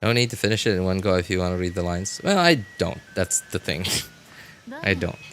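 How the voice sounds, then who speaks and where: A man's voice: low voice, expressive, a little fast, fairly bright tone, a little hiss or hum. A man live-streaming a game with casual commentary, chatting to viewers in a rambling way, often repeating and rephrasing himself.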